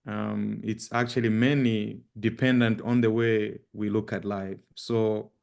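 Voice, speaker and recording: Male guest speaker, Zambian accent. Deep-ish, creaky voice, halting informal presentation style. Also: flat delivery, darkish tone. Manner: halting, with repeated words and frequent pauses. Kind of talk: informal presentation with personal introduction.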